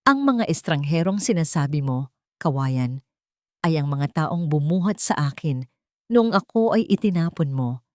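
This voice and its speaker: A woman voicing a stern, dignified elderly mother who speaks in a measured, solemn way. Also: sits low, expressive, darkish tone, no echo, clean studio sound. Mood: firm, sorrowful. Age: older.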